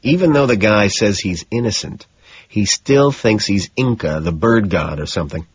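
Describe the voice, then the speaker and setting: A man's voice: fairly low pitch, expressive, normal speed, slightly rough, dry recording, dull audio. A man voicing an earnest, slightly sheepish detective in an audiobook. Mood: amused. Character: earnest, rumpled, sheepish male detective questioning a suspect. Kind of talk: audiobook character dialogue.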